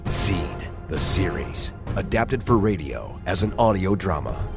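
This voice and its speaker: A man's voice: sits low, sing-song, unhurried, gravelly. A man delivering dramatic scripted narration for an audio drama. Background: prominent music.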